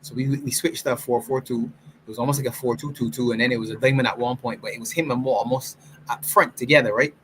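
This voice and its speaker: A man hosting a casual football reaction chat, explaining tactics at length in an animated way. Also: mid-range voice, fast talker, slight background noise, rough recording. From Barbados. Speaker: football fan show host.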